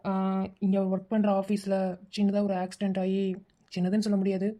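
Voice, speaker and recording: A woman playing a hesitant caller in an audio drama, speaking gravely and carefully. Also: medium pitch, flat delivery, a little slow, warm tone, lightly acted. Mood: somber hesitant. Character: hesitant, somber caller breaking bad news.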